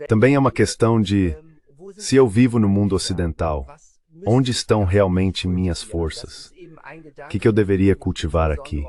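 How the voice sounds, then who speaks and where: A man giving a measured, explanatory voice-over translation of a guest on a discussion panel. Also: deep voice, darkish tone.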